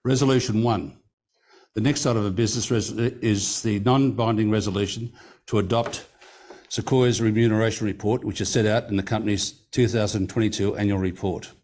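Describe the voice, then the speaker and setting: A man's voice: on the low side, steady tone, a little mellow. A man chairing a company meeting, reading out resolutions and vote counts in a formal, careful way and stopping now and then to correct a figure. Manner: reads figures carefully, pausing to correct himself. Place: meeting room.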